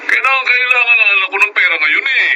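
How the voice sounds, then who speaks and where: A man's voice: extremely high pitch, lively intonation, fast talker, heavy background noise. A man playing a pushy, entitled older Filipino uncle who wheedles and blusters in a radio drama. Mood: pushy wheedling. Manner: wheedling, blustering, peppered with interjections.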